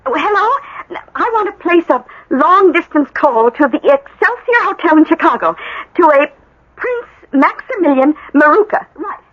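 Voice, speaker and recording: Seeking an excitable small-town housewife, very high voice, fluttery and polite, vintage radio drama. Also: female voice, normal speed, exaggerated voice. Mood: eager, flustered. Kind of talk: 1980 radio drama acting. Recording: dull vintage radio broadcast.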